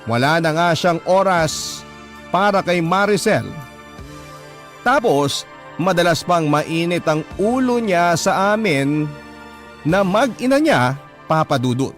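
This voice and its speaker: A man's voice: high-pitched, animated, slow pace, long pauses. A man with a Filipino accent narrating a scripted, dramatic first-person story written as a letter. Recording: music bed behind the voice.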